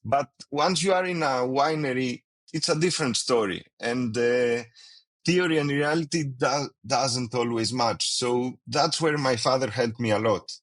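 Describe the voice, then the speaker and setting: A man's voice: medium pitch, measured pace. Hesitant Greek-accented man. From Greece. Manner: hesitant, many fillers and restarts, non-native phrasing. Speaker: winemaker guest. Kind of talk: casual interview.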